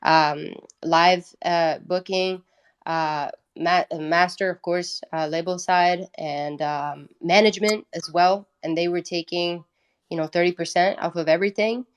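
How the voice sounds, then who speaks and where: Low-pitched Italian woman, candid and informal. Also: steady tone, measured pace, clean tone. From Italy. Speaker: guest, independent musician. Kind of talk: casual interview storytelling.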